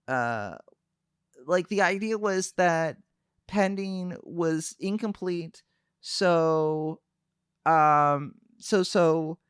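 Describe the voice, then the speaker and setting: Young guy streamer, calm n hesitant, lots of ums, smooth voice, super dry close sound. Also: fairly high pitch, no room sound. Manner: calm, soft-spoken, hesitant with many fillers. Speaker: VTuber streamer. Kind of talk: casual livestream chat.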